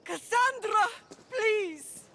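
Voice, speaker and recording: A woman's voice: very high voice, lively intonation, drawn-out words, pushed and bright, slight background noise, full character voice. An actress playing a desperate, loving middle-aged mother in a video game, pleading with great emotion. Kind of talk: dramatic video game voice acting.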